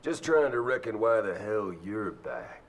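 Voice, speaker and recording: Low, gruff, drawling gunslinger. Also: male voice, lively intonation, a little slow. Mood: wary. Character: gruff, suspicious male gunslinger. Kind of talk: video game dialogue.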